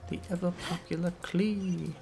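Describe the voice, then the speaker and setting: Creaky-voiced male game streamer. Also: high voice, steady tone, unhurried, mellow, faint music behind. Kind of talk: casual live gameplay commentary.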